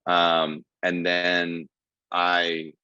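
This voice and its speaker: Deep-voiced young man telling his story. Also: completely flat delivery, extremely slow, sharp tone, smooth, dry recording, highs cut off. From Ohio, USA. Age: 20s. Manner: earnest storytelling with many fillers. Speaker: guest comedian. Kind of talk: casual podcast interview.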